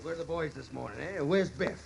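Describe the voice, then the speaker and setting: A man's voice: fairly high pitch, fairly quick, soft background music. An actor playing a weary, proud older American salesman in a stage-style radio drama, stammering and rambling with desperate emphasis. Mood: hopeful. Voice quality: husky, gravelly. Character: weary ageing travelling salesman, proud, desperate, self-deluding.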